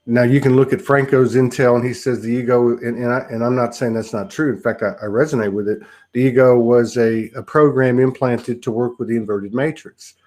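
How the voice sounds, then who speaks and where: Male host, rambling and musing, repeats himself, mid pitch, spiritual talk show. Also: darkish tone. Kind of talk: spiritual conversation.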